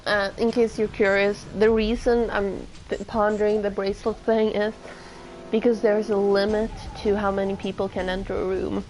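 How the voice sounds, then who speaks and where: A woman's voice: mid-range voice, darkish tone, low-quality recording. A woman whose first language is Swedish, casually chatting and thinking aloud while streaming a game. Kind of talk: casual let's-play commentary.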